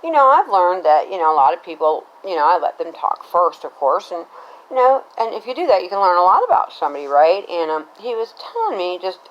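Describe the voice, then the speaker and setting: A woman's voice: lowish voice, sing-song, brisk, lo-fi sound. A middle-aged American woman telling a personal story casually, in a rambling, conversational way, often checking in with the listener. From Cincinnati, Ohio, United States.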